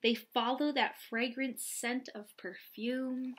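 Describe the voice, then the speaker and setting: A young adult woman reading aloud and explaining gently, as a teacher does for young children. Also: on the high side, fairly even intonation, slow, slight background noise. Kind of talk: children's read-aloud and lesson. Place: small lamp-lit room at home, indoors.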